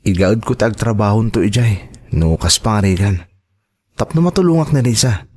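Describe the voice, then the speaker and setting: An earnest man in an audio drama played by a male actor, with a fairly low voice. He is a trusting husband and dutiful son who speaks in a reassuring way. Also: a little mellow, lightly acted. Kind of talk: Ilocano audio drama acting.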